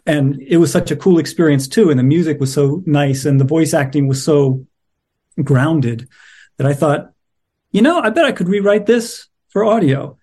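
Middle-aged man, slightly high voice. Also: normal speed, darkish tone, husky. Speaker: playwright being interviewed. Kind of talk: casual interview talk.

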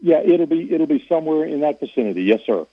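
A university athletic director, a man, calls in to a sports radio show and explains things at length, stumbling through fillers and restarts. His voice is mid-pitched and comes over a tinny, narrow phone line. The sound is dry, with no room echo. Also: expressive, fast talker.

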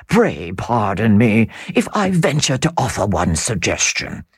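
Give me a soft-spoken, scheming count with a lively, swooping pitch, put on by an audiobook reader. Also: unhurried, dry recording, studio quality, full character voice. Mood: gentle. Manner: smooth, condescending. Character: suave scheming middle-aged male count.

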